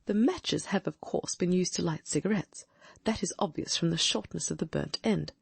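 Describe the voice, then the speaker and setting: A woman's voice: on the low side, normal speed, vocal fry, breathy edge, no room sound. A woman voicing a brilliant, confident Victorian detective in an audiobook, reasoning aloud with precise diction. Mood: deducing. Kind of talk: audiobook dramatic reading.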